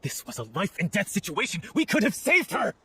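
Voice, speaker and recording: An actor playing a hot-headed, grieving lovelorn stage performer, intense and melodramatic, in a video game. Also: male voice, high-pitched, wildly swooping pitch, brisk, pushed and bright, a little hiss or hum, full character voice. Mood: furious. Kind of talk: dramatic video game acting.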